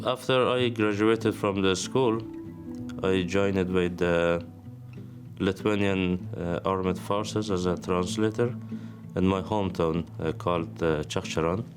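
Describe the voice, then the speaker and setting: A young Afghan man speaking English with an Afghan accent, telling his life story haltingly with many pauses. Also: deep voice, fairly even intonation. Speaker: interviewee. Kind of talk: documentary testimony. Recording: music bed under the voice.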